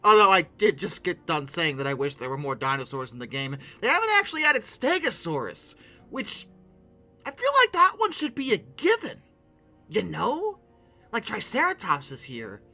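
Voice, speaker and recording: Sarcastic video reviewer, lively swooping pitch and a very bright tone, informal and theatrical, faint music underneath. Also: normal speed. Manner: theatrical, swings into mock-outraged rants; sarcastic asides. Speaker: video game reviewer and host. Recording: music bed behind the voice.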